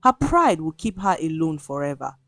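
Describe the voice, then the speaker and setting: A woman's voice: deep voice, big pitch swings, normal speed, fairly bright tone, no room sound, exaggerated voice. A woman voicing a scornful, self-righteous village gossip in a storybook tale.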